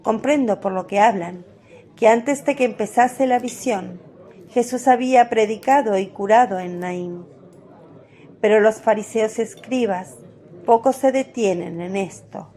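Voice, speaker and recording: Female narrator for scripted religious drama: warm, mellow, slightly high voice, measured storytelling.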